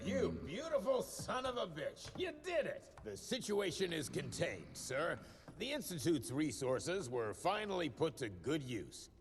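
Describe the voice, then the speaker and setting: An actor playing a brash, smug, boastful government official in a video game. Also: male voice, mid-range voice, gravelly. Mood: jubilant. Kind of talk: video game cutscene acting. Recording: roomy hall reverb, faint noise.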